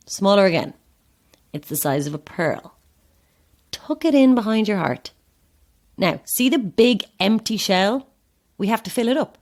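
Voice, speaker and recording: Audio drama: nervy, self-deprecating actress, unhurried, animated pitch, wry and theatrical. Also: female voice. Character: anxious, self-deprecating actress hired for an odd job. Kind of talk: audio horror-comedy drama acting.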